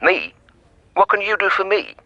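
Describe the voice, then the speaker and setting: An actor playing an irritable, sarcastic, overworked forensic scientist who speaks with exasperated emphasis in a radio drama. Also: male voice, sits high, big pitch swings, moderate pace, bright, forceful tone, dry recording, highs cut off, full character voice.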